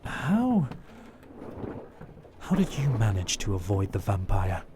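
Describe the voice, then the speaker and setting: A man playing a frightened, anxious sailor in an audio drama, halting and urgent. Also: lowish voice, huge pitch swings, noisy background, heavily acted. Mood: accusing. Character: frightened male sailor, pleading, anxious survivor.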